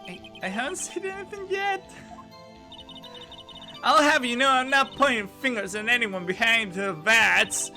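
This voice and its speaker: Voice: mid-range voice, unhurried, pushed and bright, soft background music, pitched above the performer's own voice. A game streamer voicing a cranky, fussy old woman security guard from a video game, scolding indignantly.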